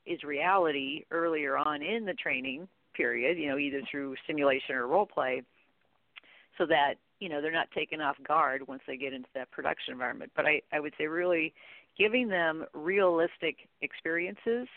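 A middle-aged woman giving conversational interview answers as a guest expert, telling things in an animated way with quoted dialogue. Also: low voice, normal speed, no room sound. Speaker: guest expert, training company chief executive. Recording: narrow phone-like line.

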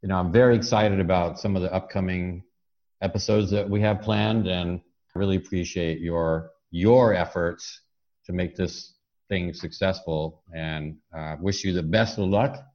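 Deep-voiced man hosting, dull audio. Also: fairly even intonation, measured pace, darkish tone. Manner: effusive, appreciative. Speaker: podcast host. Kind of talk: interview chat.

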